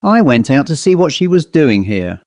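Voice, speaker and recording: A man voicing a perplexed, brisk upper-class gentleman in an audiobook story, speaking in an educated, musing way. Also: on the high side, sing-song, no room sound. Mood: matter-of-fact. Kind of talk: audiobook character voice.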